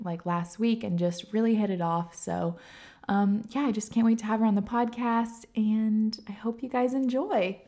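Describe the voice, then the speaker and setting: A woman's voice: mid-range voice, dark tone. A young woman hosts a casual podcast chat. She is bubbly and giggly, and her talk is full of "like". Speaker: podcast host.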